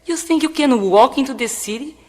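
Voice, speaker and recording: A woman's voice: high voice, animated, fairly quick, darkish tone, in a large hall. An actress with a Brazilian Portuguese accent playing a mysterious woman who sternly questions a reluctant shaman in a radio play. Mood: reproachful. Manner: stern, searching, probing questions.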